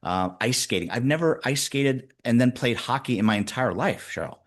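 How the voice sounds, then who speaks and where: A man in his fifties, a guest executive coach, telling stories in a casual interview with lively, shifting pacing. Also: medium pitch. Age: 50s. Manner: animated storyteller, speeds up and slows down.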